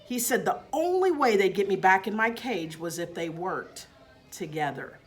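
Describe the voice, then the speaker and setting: A woman reading a children's story aloud as a teacher, in an expressive narrating style. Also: mid-range voice, normal speed.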